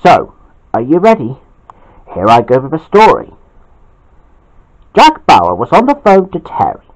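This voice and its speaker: An amateur storyteller reading aloud and talking to viewers in a halting manner with drawn-out words. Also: mid-range voice, huge pitch swings, rough recording. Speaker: storyteller and channel host.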